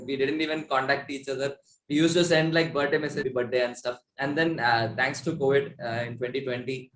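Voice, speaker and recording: A man's voice: medium pitch, a bit bright. A man in his twenties with an Indian accent, chatting casually as a musician guest in an interview, telling stories in a rambling way. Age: 20s. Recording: roomy, echoey sound.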